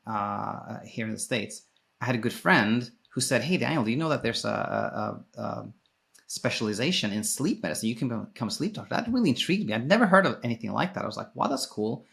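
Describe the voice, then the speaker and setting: A man's voice: mid-range voice, very animated, quick pace, gravelly, fairly bright tone. A man from Sweden who works as a sleep coach, answering interview questions in an informal, explanatory way and rephrasing as he goes. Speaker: sleep coach and former sleep physician, podcast guest.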